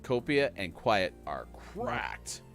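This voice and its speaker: A man's voice: fairly low pitch, huge pitch swings, takes it slowly. A man hosting a casual morning gaming stream, reading daily trivia and laughing often. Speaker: host. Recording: faint music behind.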